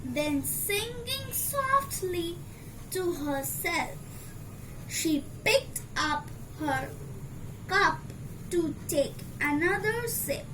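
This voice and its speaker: Very slow, high-pitched female storybook reader. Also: expressive. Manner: deliberate, phrase-by-phrase read-aloud delivery. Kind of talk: scripted storybook narration for children. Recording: clearly noisy amateur recording.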